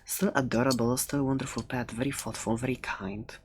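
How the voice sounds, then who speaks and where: A very deep female voice a woman acting as a quirky seller of fantasy creatures in an ASMR roleplay. She chats playfully and hesitantly, close to the mic, with faint background hiss and small clicks. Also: steady tone, fairly quick. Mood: playful, chatty. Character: chatty, quirky seller of dragon eggs, fond of dangerous pets. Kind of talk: ASMR roleplay, casual rambling talk.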